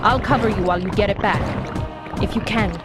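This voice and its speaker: A woman's voice: medium pitch, a little fast, over loud music, close to an everyday voice. A woman voicing a tough, proud fox police officer in a video game, firm and feisty. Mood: brisk, teasing. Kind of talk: video game cutscene acting.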